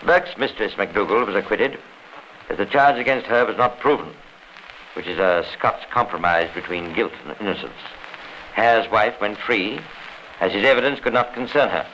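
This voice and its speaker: A grave, authoritative narrator voiced by a man tells a radio crime story with formal drama. The voice is sharp and forceful, on an old recording with slight background noise. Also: on the low side, moderate pace, highs cut off, lightly acted. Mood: grave, dramatic. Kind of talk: 1950s radio scripted narration.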